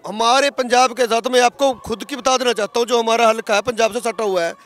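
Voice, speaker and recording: A man's voice: extremely high pitch, a bit bright. A man in his thirties with a Haryanvi accent, speaking emphatically and argumentatively in a street interview as a protesting farmer. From Haryana, India. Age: 30s. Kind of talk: street interview, impassioned political talk. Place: outdoors at a roadside protest camp. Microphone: handheld interview microphone.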